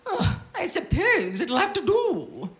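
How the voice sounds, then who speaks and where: A woman's voice: very high voice, wildly swooping pitch, slow, fairly bright tone, heavily acted. A woman acting in a live radio play as a bossy, grasping, self-pitying wife and mother with a nagging, theatrical delivery. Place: stage before a live audience.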